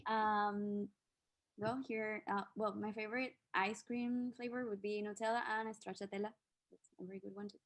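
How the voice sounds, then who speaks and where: A woman's voice: medium pitch, flat delivery, moderate pace. A young woman from Venezuela, a dental student introducing herself on a panel in a friendly way. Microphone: video call.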